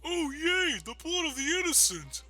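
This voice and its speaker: A man's voice: very animated, moderate pace, pushed and bright, dry recording, exaggerated voice. A man doing a dopey, gleeful starfish. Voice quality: high, dopey put-on voice. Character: dim, gleeful male cartoon starfish. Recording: dull lo-fi recording with faint music.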